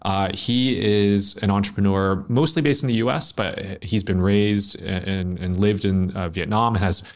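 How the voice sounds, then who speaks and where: A man with a low-pitched voice hosts a studio interview. He talks in an easygoing, conversational way, with plenty of filler words as he sets up each question. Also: steady tone, measured pace. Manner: easygoing, frequent "uh" fillers while framing questions. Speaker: podcast host.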